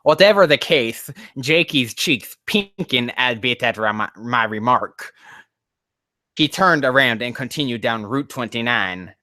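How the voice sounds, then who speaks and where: A man with a bright, forceful voice reads amateur fiction on a livestream, captured very dry. He keeps stepping out of the text to critique it in rambling, profane, exasperated asides. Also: medium pitch, expressive, a little slow, dry recording. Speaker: streamer reading fan fiction aloud. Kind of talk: casual livestream reading with running critique.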